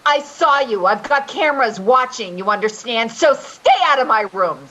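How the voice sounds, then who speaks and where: A woman playing an evasive, manipulative caregiver in a readers theater drama, halting and repeating her words. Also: fairly high pitch, animated, sharp tone, lo-fi sound. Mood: bossy, threatening. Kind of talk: readers theater stage acting.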